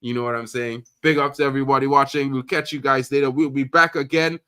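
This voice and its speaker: A man from Trinidad hosting a casual live-stream chat, animated and loud, full of fillers like "you know what I mean". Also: mid-range voice, fast, dry recording, studio quality. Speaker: host.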